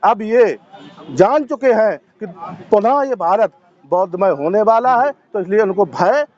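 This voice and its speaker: A man's voice: sits high, animated, unhurried, darkish tone, dull audio. A man giving impassioned, assertive interview answers as a protester, stressing points by repeating phrases.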